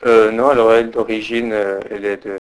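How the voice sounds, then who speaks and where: A slightly low-voiced middle-aged man from Portugal speaks in a relaxed interview. He hesitates and restarts often as he recalls details, filling the gaps with pauses. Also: a little hiss or hum, poor audio. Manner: hesitant, many fillers and restarts. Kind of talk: oral history interview.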